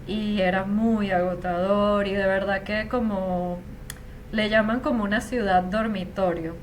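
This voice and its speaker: Venezuelan woman, 30s, interview guest, chatty and reflective, mid pitch, slight background noise. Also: fairly even intonation, unhurried. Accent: Venezuelan Spanish. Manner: chatty, reflective, frequent fillers. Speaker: interview guest, Spanish teacher. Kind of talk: casual conversational interview.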